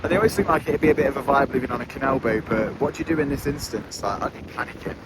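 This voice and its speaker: A man in his thirties chats casually as a vlogger, commenting on what is around him outdoors. His voice sits at a middle pitch. Loud wind and outdoor noise run under his voice. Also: rapid-fire. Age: 30s. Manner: chatty, commenting on the scenery. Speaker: vlogger host. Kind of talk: casual walk-and-talk vlog. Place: outdoors by a flooded canal.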